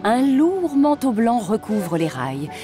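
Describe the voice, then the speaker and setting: A woman narrating a cartoon story in an expressive, scripted way. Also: on the high side, slow. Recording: cartoon narration with music behind.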